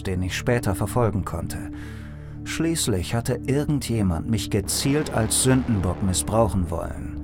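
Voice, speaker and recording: An actor playing a world-weary private detective, narrating and speaking in a noir audio drama in a dry, sardonic way. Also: male voice, fairly low pitch. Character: wry, world-weary male private detective in futuristic city. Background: music.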